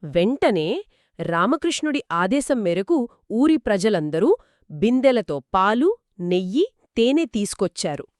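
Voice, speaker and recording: A woman narrating a folk tale in a lively, expressive storytelling manner, trading lines with a co-host. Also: medium pitch, measured pace, long pauses, dry recording, clean studio sound. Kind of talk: scripted two-voice story narration.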